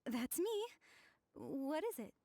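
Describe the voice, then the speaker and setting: A woman's voice: high voice, wildly swooping pitch, slow pace, warm tone, exaggerated voice. A woman voicing a lovelorn, indignant young female researcher in a video game, huffy and defensive.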